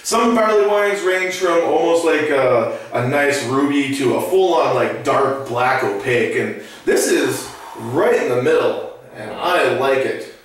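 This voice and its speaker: A man's voice: medium pitch, measured pace, hardly any pauses, a little hiss or hum. An enthusiastic man in his thirties hosts a casual beer tasting and chats eagerly about what's in the glass. He sits well back from the mic in a small room with a strong echo. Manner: enthusiastic, talkative. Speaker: beer review host. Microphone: far camera. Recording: echoey room, distant mic.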